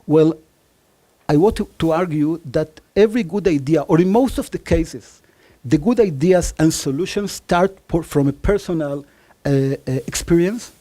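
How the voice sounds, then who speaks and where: A man's voice: a little high, mellow. Argentine-born man with Israeli-Spanish accent. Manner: halting, many fillers, self-joking. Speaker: startup founder pitching. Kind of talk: conference pitch.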